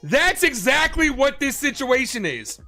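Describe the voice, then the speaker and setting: A man with a very high, piercing voice tears into a baseball manager, swearing freely and stammering on purpose to mock him. It is a played clip, with faint music running underneath. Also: sing-song, measured pace, bright, forceful tone. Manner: profane, mocking, stammering for effect. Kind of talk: ranting sports commentary clip.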